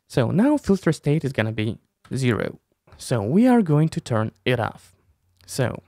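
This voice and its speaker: Guy in his 30s doing a software tutorial, gravelly voice, really animated pitch. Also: no room sound, studio quality. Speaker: tutorial host. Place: small room indoors. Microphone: close desk mic with headset.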